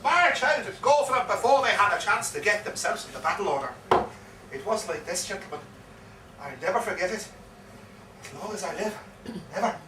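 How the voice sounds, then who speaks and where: A man's voice: sits high, expressive, brisk, long pauses. A man on stage playing a garrulous old soldier with an Irish accent, telling a war yarn with theatrical repetition. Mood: dramatic, boastful. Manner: theatrical, dramatic storytelling with repeated phrases. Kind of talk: live stage performance of a comic one-act play. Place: live performance in a pub. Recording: echoey, distant live pub recording, faint noise.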